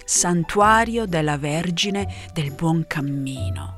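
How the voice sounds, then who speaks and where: Woman, literary audio narration, reflective dramatic phrasing, slight vocal fry, faint music behind. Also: deep voice, lively intonation, slow pace. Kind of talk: literary first-person audio travel narration.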